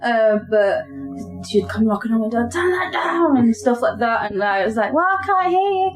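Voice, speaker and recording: A woman's voice: a little high, animated, normal speed. A woman in her thirties from Portugal hosting a casual podcast interview, chatty, with many fillers. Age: 30s. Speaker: podcast host. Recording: loud music behind.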